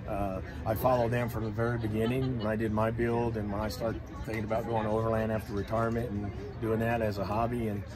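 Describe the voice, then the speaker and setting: A man's voice: on the low side, little pitch movement. Retired man, chatty vlog host outdoors. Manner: friendly, chatty, halting with fillers. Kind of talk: casual on-camera chat. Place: outdoor fair among vehicles. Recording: loud outdoor crowd noise.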